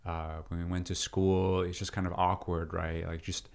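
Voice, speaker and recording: A man in his thirties, originally from Peru, talking through his life story as a guest in a relaxed interview, with many fillers and restarts. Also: deep voice, normal speed, gravelly. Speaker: deacon and seminarian, interview guest. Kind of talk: casual interview conversation.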